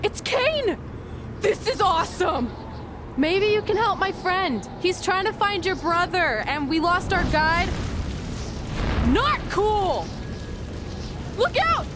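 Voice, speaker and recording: A woman's voice: very high-pitched, big pitch swings, slow pace, long pauses, music in the background, full character voice. A woman playing an upbeat, naive young adventurous sidekick in a video game, eager and bubbly. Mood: thrilled. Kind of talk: video game cutscene acting.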